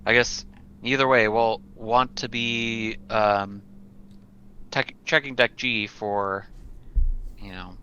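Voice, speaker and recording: Guy playing an rpg, bright sharp low voice, hesitant, kinda muffled mic. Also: fairly even intonation, takes it slowly, pauses often, clean tone. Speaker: tabletop game player. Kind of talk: casual game discussion.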